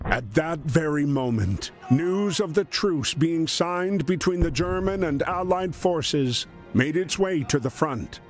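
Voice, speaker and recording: Male narrator for war story game: solemn scripted read, unhurried, lively pitch movement, music bed. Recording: music behind the voice.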